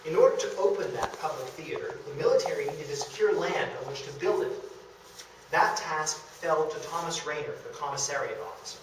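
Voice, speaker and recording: Male history lecturer, slightly high voice, very echoey room, picked up from a distance. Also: a little fast, noisy background. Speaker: lecturer presenting a history talk. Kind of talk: illustrated public lecture. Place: indoor meeting room of a historic house. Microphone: camera across the room, far from speaker.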